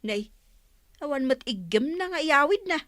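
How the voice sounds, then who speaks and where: A woman's voice: high voice, expressive, normal speed, fairly bright tone, no echo, studio quality. A woman with an Ilocano accent playing a fretful, nagging village woman in an audio drama. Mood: worried.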